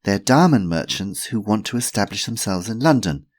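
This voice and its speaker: A man's voice: fairly low pitch, expressive, voice pushed up. A man voicing a shy young woman who speaks hesitantly. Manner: hesitant, halting. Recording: roomy echo.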